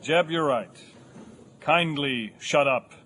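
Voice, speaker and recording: An actor playing a bitter, sarcastic male high school English teacher, pompous and literary in his scorn. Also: slow pace, fairly bright tone, slight background noise. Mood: exasperated. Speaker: actor in audio drama.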